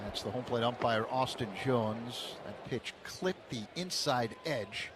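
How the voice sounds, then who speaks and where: A man calls a baseball game for radio in a measured play-by-play voice that lifts when the action does. His pitch sits in an everyday middle range. Clear background noise runs under the broadcast. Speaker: play-by-play announcer.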